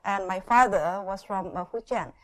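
A middle-aged woman from Indonesia shares her church testimony in short, unhurried phrases, pausing often for an interpreter, with frequent "uh" and "yeah" and light laughs. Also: mid-range voice. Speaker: guest speaker sharing her testimony.

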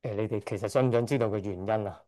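A middle-aged man from Hong Kong speaks at a church service with a mellow, warm voice. He is hesitant, stops often to correct himself and lets out a shy chuckle now and then. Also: fairly low pitch, racing. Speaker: church member giving testimony. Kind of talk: church testimony speech.

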